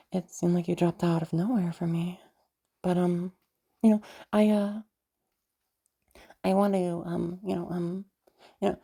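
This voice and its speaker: A woman's voice: on the low side, vocal fry, dark tone. A woman voicing a sweet, bubbly, nervous young woman chatting in a roleplay audio drama, hesitant, giggly and stammering. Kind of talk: roleplay audio drama, one side of a phone chat.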